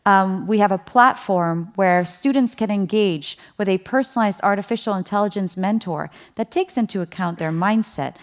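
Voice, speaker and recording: Woman doing a keynote, chatty, keeps asking the crowd stuff, little laughs here and there, mid pitch. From Netherlands. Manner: lively, conversational, asks the audience questions; laughs lightly between points. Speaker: keynote speaker. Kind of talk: conference keynote talk.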